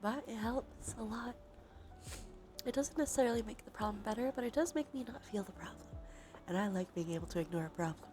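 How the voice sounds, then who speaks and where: A sniffly woman with a sore throat chatting softly on a cozy ASMR livestream, often dropping into whispers. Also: medium pitch, brisk, soft background music.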